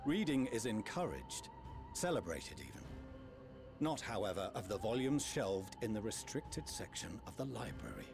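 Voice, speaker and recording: An actor playing a stern, haughty older elven statesman in a video game, speaking in a measured, formal, condemning way. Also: fairly low pitch, big pitch swings, creaky. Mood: cold, stern. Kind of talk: formal dramatic game voice acting. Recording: roomy reverb, music underneath.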